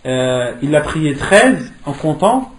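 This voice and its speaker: A man's voice: a little high, very animated, slow pace, a little hiss or hum. A man giving an interactive religious lesson, posing questions in a didactic way and repeating key phrases for emphasis. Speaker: religious teacher. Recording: echoey room.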